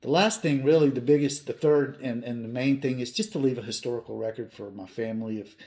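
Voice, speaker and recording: A man's voice: medium pitch, fairly quick, warm tone. Earnest man in his fifties, chatting casually. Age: 50s. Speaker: hobby channel host. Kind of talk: casual talk to viewers.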